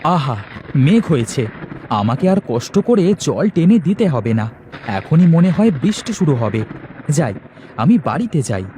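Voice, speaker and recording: An actor voicing a simple, earnest young village farmer in a cartoon, with an animated, exclamatory manner. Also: male voice, a little high, measured pace, slight background noise. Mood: content.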